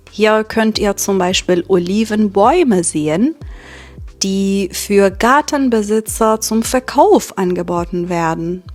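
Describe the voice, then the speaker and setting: A woman from Iran, a German teacher, speaking slowly and clearly in a vlog for learners and often checking in with tag questions. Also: medium pitch, darkish tone. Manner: deliberate, with frequent tag questions.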